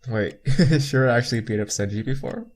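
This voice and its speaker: A man's voice: medium pitch, moderate pace, darkish tone. A young man hosting a casual visual novel playthrough, reading the text aloud with chatty asides and some stumbles. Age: young adult. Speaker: gameplay video host.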